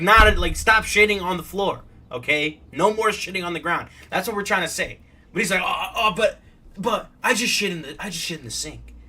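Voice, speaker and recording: Male commentator, higher-pitched and very forceful, casual, argumentative, profane. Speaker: commentator reacting to a show clip.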